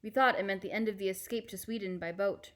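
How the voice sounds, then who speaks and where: A woman playing a calm, reassuring fisherman uncle in a storybook, with a measured, explanatory manner. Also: medium pitch, lightly acted. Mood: serious.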